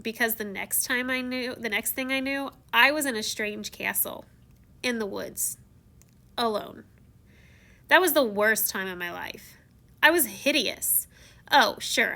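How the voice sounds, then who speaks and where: Storybook prince-turned-beast, read by a woman: dramatic, self-mocking, confiding, bright higher voice. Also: gravelly, full character voice. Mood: wry, rueful. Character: theatrical, once-shy young prince turned beast, telling his tale. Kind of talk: first-person storybook read-aloud.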